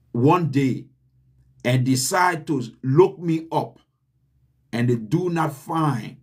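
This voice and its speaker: A man with a Liberian accent giving an earnest, deliberate motivational talk to his channel's viewers, repeating his key points for emphasis. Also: on the high side. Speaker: channel host. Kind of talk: motivational address.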